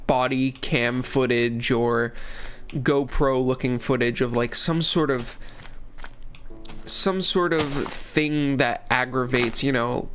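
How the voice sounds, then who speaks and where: A man's voice: mid-range voice, steady tone, takes it slowly, fairly bright tone, a little hiss or hum. A young man in his twenties, congested from a cold, talking casually over a game and rambling with many restarts. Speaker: gaming channel host. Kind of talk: casual commentary while playing.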